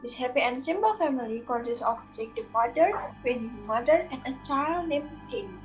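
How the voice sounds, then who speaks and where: Woman narrator, high voice, reading a scripted story, faint music behind. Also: animated, fairly quick, dull audio.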